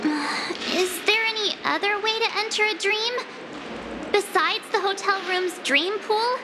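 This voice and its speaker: A woman's voice: very high voice, unhurried, noisy background. A woman voicing a bubbly, curious teenage girl adventurer in an anime game. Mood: curious, pondering. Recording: loud music under the voice.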